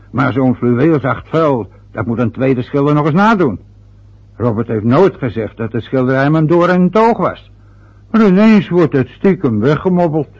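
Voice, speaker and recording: Aging father, stubborn and sarcastic, gravelly voice, lo-fi radio drama. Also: medium pitch, darkish tone. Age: older. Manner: grumbling, sarcastic. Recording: dull lo-fi old radio recording.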